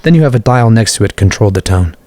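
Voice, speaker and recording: A man's voice: on the low side, animated, quick pace, mellow, dry recording. A man hosting a tutorial in a scripted narration style. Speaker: tutorial host.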